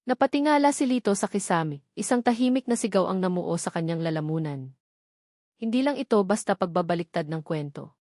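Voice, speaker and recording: A woman's voice: lowish voice, expressive, a little fast, darkish tone, no room sound, studio quality. A woman with a Filipino accent reading a scripted dramatic story as its narrator.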